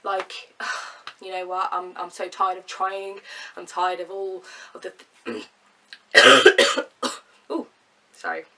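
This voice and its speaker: A woman's voice: fairly low pitch, lively intonation, slow, long pauses, bright, forceful tone, distant mic. A young woman with a cold and a nasal voice, talking earnestly to camera in a chatty vlog. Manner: chatty, earnest, with fillers and laughs. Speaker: vlogger. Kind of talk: casual talk to camera.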